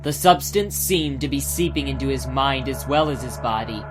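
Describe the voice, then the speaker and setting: A man's voice: mid-range voice, normal speed, low-quality recording. A man giving a scripted, dramatic narration of a fantasy audiobook. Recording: music behind the voice.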